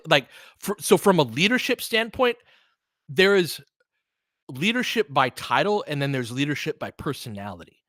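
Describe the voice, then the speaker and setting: A man's voice: sits high, pushed and bright, no echo, studio quality. An American man hosting a casual, unscripted sports livestream, speaking in a candid, opinionated and emphatic conversational way. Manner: candid and opinionated, swears freely. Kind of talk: casual, unscripted sports commentary chatting with listeners.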